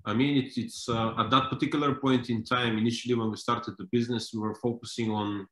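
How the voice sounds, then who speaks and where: A man's voice: mid-range voice, steady tone, quick pace, a bit bright, reverberant. A man in his thirties, originally from Bulgaria, speaking as a company founder in a video call interview, hesitant with many fillers and restarts. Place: home room indoors.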